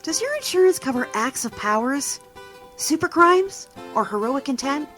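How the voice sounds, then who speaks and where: A woman plays an upbeat female commercial announcer selling insurance in a superhero audio drama. The voice is fairly high and swings with lively, salesy emphasis over plucked guitar music. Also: moderate pace. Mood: upbeat sales pitch. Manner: salesy, rhetorical.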